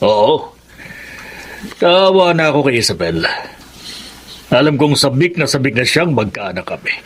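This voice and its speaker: A middle-aged actor playing a kind, troubled husband in a radio drama, speaking earnestly with a melancholy tone. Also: male voice, medium pitch, very animated, a little slow, a bit bright, slight background noise. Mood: sad.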